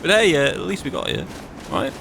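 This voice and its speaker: A man's voice: mid-range voice, wildly swooping pitch, a little fast, vocal fry, noisy background. A British man doing in-character roleplay commentary on a video game, talking wryly and conversationally as if muttering to himself. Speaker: gamer playing a roleplay character. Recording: voice over game music. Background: video game music and sound.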